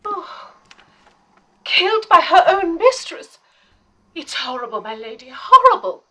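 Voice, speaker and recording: A woman playing a devoted, earnest assistant to a lady detective in a period mystery radio drama, deferential and expressive. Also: extremely high pitch, measured pace, heavily acted. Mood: shocked.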